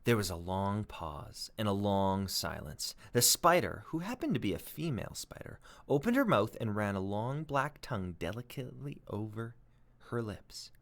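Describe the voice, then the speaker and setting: A man reading aloud as a teacher, expressive and dramatic, with emphatic pauses. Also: fairly low pitch. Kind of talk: expressive storybook read-aloud and lesson.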